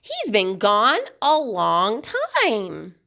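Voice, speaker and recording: Woman as an impatient, animated storybook mother pig. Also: mid-range voice, extremely slow, vocal fry, muffled recording, full character voice. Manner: scolding, emphatic.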